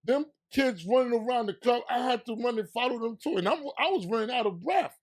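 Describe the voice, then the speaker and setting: A man with a New York accent hosting a casual interview, hyped up one moment and low and confiding the next. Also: fairly quick. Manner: animated, hype, swings between loud excitement and low confiding tone. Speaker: podcast host and DJ.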